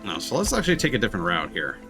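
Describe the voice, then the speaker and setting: Male game streamer, lowish voice, casual rambling commentary, game music in background. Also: huge pitch swings, very fast. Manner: rambling, musing. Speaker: streamer playing a video game.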